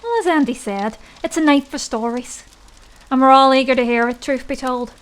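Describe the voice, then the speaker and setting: Woman as high-voiced Irish village gossip. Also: moderate pace, lightly acted. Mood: eager, curious. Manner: chatty, folksy storytelling. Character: nosy, gossipy, superstitious village woman eager for ghost stories. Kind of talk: audio drama acting.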